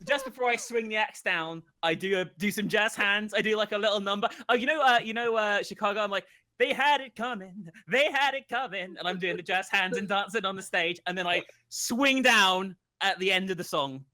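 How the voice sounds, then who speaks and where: A man improvising a boastful, playful job pitch in a comedy card game, laughing as he goes. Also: a little fast, bright, forceful tone.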